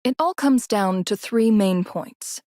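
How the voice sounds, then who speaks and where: A woman playing a poised, authoritative mentor in an anime game, deliberate and instructive in her delivery. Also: mid-range voice, a little mellow, no room sound, clean studio sound, close to an everyday voice. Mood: assured.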